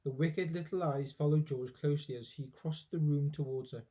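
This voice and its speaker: A man's voice: on the high side, fairly even intonation, normal speed, dark tone. A man reading a children's storybook aloud expressively, with dramatic emphasis, like a teacher.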